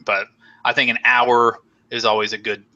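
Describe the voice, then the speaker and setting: A man's voice: medium pitch, level tone, moderate pace, pushed and bright, lo-fi sound. A young man hosting a casual live stream chat, rambling and joking with many fillers while losing his voice. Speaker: host.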